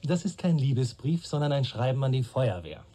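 An actor playing a young, dry and skeptical police detective in a radio crime play, speaking matter-of-factly. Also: male voice, medium pitch, sing-song, brisk, dark tone. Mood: dryly sardonic. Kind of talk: German radio play dialogue.

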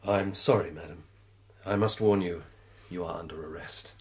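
A man acting a dutiful police inspector in a radio play. His voice is deep and his delivery is formal and official. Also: normal speed, darkish tone, close to an everyday voice. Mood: stern, regretful. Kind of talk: BBC radio drama acting.

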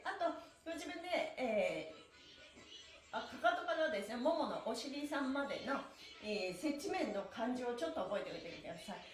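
A middle-aged woman hosting a stretching class, giving cheerful, exclamatory and polite instruction with playful wording to a livestream audience. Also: high voice. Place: small bare indoor room with exercise mat. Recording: echoey small room.

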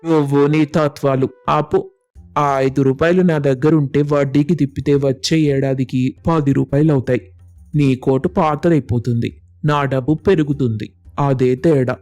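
A man's voice: mid-range voice, steady tone, normal speed, darkish tone, faint music behind, close to an everyday voice. A man playing a stingy, irritable village farmer in a moral story, complaining and scornful. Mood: scornful, calculating. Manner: scornful, complaining, rhetorical questions. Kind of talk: animated storybook dialogue.

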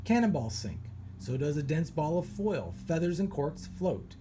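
A man, a children's author, reads a science picture book aloud with animated storytelling and pirate flair. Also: a little high, normal speed, noisy background. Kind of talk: expressive read-aloud.

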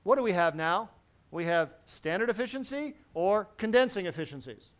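A middle-aged man giving a technical lecture to a seated audience in a large conference hall, lively and constantly checking in with the listeners. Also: sits high, normal speed. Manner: lively, quizzes the audience with frequent "right?". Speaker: presenter at a technical symposium.

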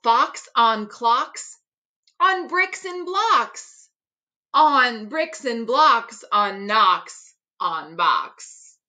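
Woman, children's read-aloud, very slow careful articulation, lively swooping pitch, expressive. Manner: deliberate, carefully articulated tongue twisters. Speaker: teacher reading aloud. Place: living room at home.

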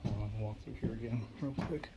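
A man's voice: lowish voice, fairly quick, muffled recording. A man narrating a casual, off-the-cuff walk-through inside a small empty house, musing hesitantly into a handheld phone. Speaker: urban exploration vlogger. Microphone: handheld phone behind the camera. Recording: noisy handheld recording with clicks.

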